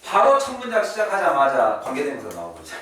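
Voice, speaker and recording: A man's voice: a little high, huge pitch swings, fast, fairly bright tone. Male classroom English teacher, chatty, swinging intonation, recorded at a distance in a very reverberant room. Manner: chatty, checks in with students often. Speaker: English teacher at a private academy. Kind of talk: classroom lesson, explaining vocabulary and grammar. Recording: echoey room, distant mic, faint noise.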